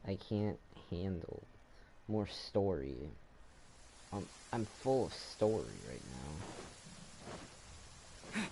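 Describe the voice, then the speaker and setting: A young man giving casual, informal gameplay commentary, often halting and repeating his words. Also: deep voice, expressive, mellow. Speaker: gaming streamer. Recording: noisy home microphone, clicks.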